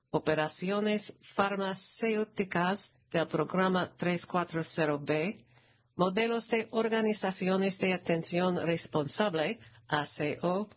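A woman reading a scripted news announcement aloud in a formal, measured manner. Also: mid-range voice. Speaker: narrator reading a press release.

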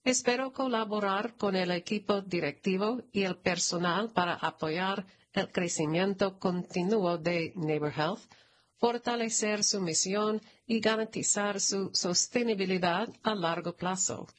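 A woman reading a scripted news announcement aloud in a formal, measured manner. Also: medium pitch. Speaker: narrator reading a press release.

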